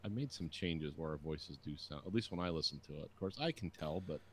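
A man's voice: very deep voice, fairly quick, slight background noise. A man in his forties hosting a podcast from a dim home studio, rambling through crude banter and swearing freely. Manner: rambling storyteller, swears freely, mimics people. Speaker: podcast host. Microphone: studio mic on boom arm with headphones.

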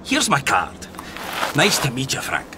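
An actor playing a pushy, wry 1920s newspaper reporter in a radio drama, probing and insinuating. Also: male voice, mid-range voice, huge pitch swings, a little slow, very noisy. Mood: needling, amused.

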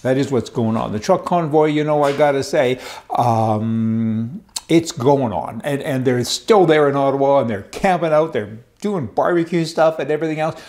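A man's voice: medium pitch, moderate pace, a little mellow. Chatty older man hosting a commentary show. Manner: chatty, sarcastic asides, reads from papers. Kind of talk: political commentary to camera. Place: studio with wooden backdrop.

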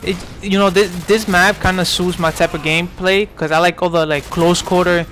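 A man's voice: sits high, fairly even intonation, moderate pace, fairly bright tone, slight background noise. A man in his twenties from New York giving casual, rambling commentary over gameplay, full of fillers and restarts. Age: 20s. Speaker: gaming commentator.

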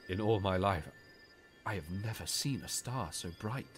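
A deep-voiced warrior king, acted by a male audiobook reader, solemn and gracious. He speaks formally and with weight, every phrase measured. Music plays underneath. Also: expressive. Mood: wondering, gracious. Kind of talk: audiobook character dialogue.

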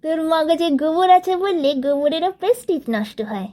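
A woman's voice: very high voice, lively intonation, moderate pace, mellow. A woman voicing a boastful teenage boy who leads his gang, spinning a tall tale in a teasing tone. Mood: scornful bragging. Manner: bragging, teasing storyteller tone. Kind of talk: storybook reading of a figure's tall tale.

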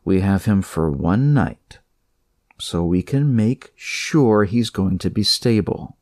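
A man voicing a calm, reassuring hospital doctor in a story reading, firm and explanatory. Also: low voice, slow pace, no echo, clean studio sound.

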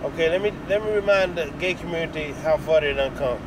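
A man's voice: on the high side, quick pace. A middle-aged American man giving a blunt, casual, sweary opinion monologue into his phone inside a vehicle. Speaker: vlogger. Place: inside a parked or moving vehicle cab. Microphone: phone at arm's length. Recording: phone recording with clear vehicle noise. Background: car and air conditioning hum.

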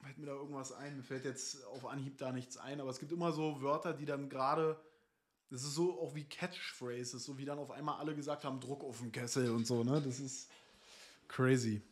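Casual German-speaking streamer, relaxed. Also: male voice, mid-range voice, a little fast, slightly rough. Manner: reads text aloud, then comments in loose asides with fillers. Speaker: streamer reading and reacting to an interview. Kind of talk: casual chat, reading an article aloud. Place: small room indoors.

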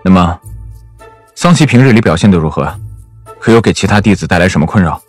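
A scheming emperor in a Chinese period audio drama. His voice sits very low and sounds imperious and theatrically regretful, with faint music behind it. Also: lively intonation, fast, dry recording. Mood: probing.

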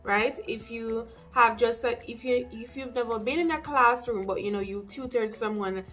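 A young woman with a Jamaican accent giving casual, direct advice to camera as an English teacher, with lively asides. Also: fairly high pitch, normal speed, soft background music. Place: small room indoors.

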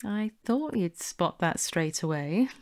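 A woman playing a smooth, bossy con artist with a brisk, persuasive manner in an audio drama. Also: on the low side, lively intonation. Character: smooth, bossy female con artist posing as investment promoter.

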